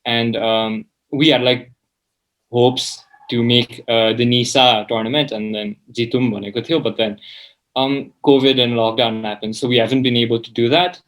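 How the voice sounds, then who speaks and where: A man's voice: medium pitch, steady tone, normal speed, fairly bright tone, rough recording. A seventeen-year-old man, chatting casually as an interview guest in a hesitant, informal way, often saying "like". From Nepal. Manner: hesitant, informal, peppers speech with "like". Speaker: student guest.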